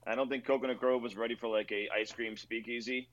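A man from Maryland, a restaurant owner, telling stories in a relaxed, rambling way with lots of fillers during an interview. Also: mid-range voice, quick pace, highs cut off. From Rockville, Maryland, near Washington D.C. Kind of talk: casual interview over video call. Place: his own themed bar.

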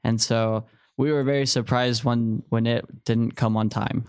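A man's voice: medium pitch, fairly even intonation, a little slow, darkish tone, smooth, no room sound, studio quality. A man in his early twenties telling personal stories as a guest in a podcast interview. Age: early 20s. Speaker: podcast guest, returned missionary.

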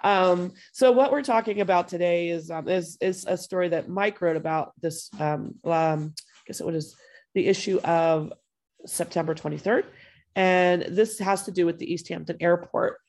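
Hoarse woman with a head cold. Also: fairly low pitch, moderate pace, darkish tone. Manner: chatty, friendly, loose with fillers. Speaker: podcast host. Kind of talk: casual podcast chat. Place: home. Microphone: video call.

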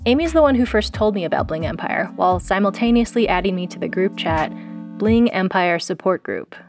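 A woman host narrates a scripted audio documentary with a dry, sardonic edge. She drops casual slang into polished delivery. Her pitch sits in an ordinary middle range. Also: animated, fairly quick, darkish tone, faint music behind. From born in South Korea, raised in the US. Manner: wry, sardonic, pop-culture slang. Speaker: producer and host.

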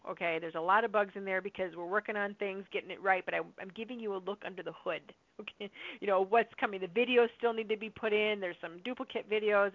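A slightly hoarse woman hosting a call, chatty and upbeat, answering listener questions with lots of fillers. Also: mid-range voice, no echo. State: slightly hoarse from long talking. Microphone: phone or conference line. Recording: dry tinny phone-line audio.

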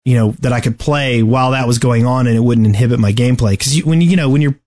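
Halting man chatting casually about games. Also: mid-range voice, dark tone. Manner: halting, stammering. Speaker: podcast co-host. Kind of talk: casual gaming chat.

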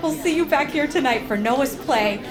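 A woman playing a brisk, bossy, businesslike small-town council representative in an audio comedy. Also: high voice, music in the background, lightly acted. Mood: upbeat.

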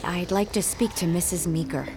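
Woman playing a troubled young artist, lowish voice. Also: fairly even intonation, moderate pace, background noise, close to an everyday voice. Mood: anxious. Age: young adult. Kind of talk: dramatic audio drama acting.

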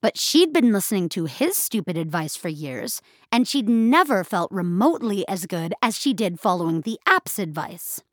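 A woman narrating scripted audio fiction in a measured, expressive storytelling style. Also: medium pitch, no room sound, studio quality.

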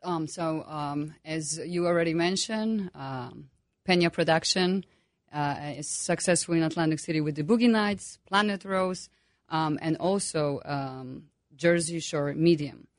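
A woman's voice: sits low, measured pace, no room sound, clean studio sound. A woman from Poland with a Polish accent, speaking as an astrologer guest with many hesitations and fillers. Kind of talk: interview guest.

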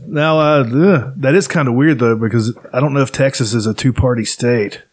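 A man in his thirties hosting a podcast, casual and crude with deadpan jokes. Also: medium pitch, moderate pace. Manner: crude, joking, deadpan answers. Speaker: podcast host. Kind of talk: casual chat.

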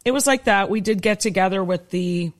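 A woman's voice: medium pitch, fairly quick. A woman musician in a band interview, talking casually with wry humor. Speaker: band singer and lyricist.

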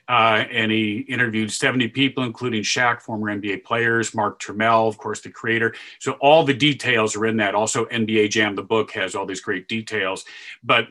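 Bright-voiced man recounting his announcer days. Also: normal speed. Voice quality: deeper voice. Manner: animated, breaks into loud sportscaster calls. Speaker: video game announcer. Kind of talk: interview storytelling.

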